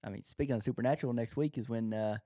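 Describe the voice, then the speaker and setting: A man with a mellow, relaxed voice at a middle pitch. He chats casually as a podcast co-host, talking through a television show. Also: rapid-fire.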